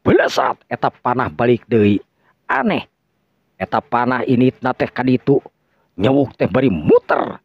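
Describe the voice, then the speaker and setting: A man's voice: mid-range voice, sing-song, measured pace, no echo. A man with a Sundanese accent narrating a folk tale as a storyteller, theatrical and repeating phrases for dramatic effect. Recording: dull lo-fi close recording.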